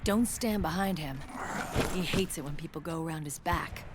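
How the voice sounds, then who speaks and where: A woman playing a tough young military squad commander, brisk, guarded and no-nonsense, in a dramatic video game. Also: low voice, very noisy, natural voice.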